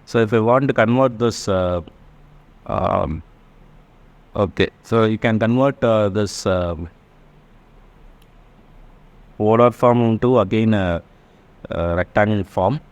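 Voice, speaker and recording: A man giving a step-by-step tutorial as an engineering lecturer, halting as he works through math and checking that listeners follow. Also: fairly low pitch.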